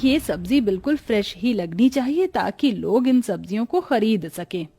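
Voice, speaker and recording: A woman's voice: medium pitch, expressive, normal speed, dark tone, breathy edge. A woman voicing a poor, weary, devoted village mother in a cartoon story, speaking tenderly, earnestly and plaintively.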